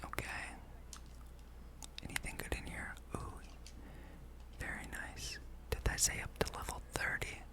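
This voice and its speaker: Whispered ASMR, breathy, unvoiced, gameplay ramble, musing, unhurried. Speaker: gaming ASMR host.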